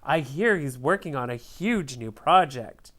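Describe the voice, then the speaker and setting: A woman's voice: rumbling low, expressive, a little slow, a bit bright, pitched below the performer's own voice, heavily acted. A woman voicing opinionated, gossipy crayon characters from a children's storybook in an exaggerated manner. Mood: gushing admiration. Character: chattering, opinionated crayons judging a classmate.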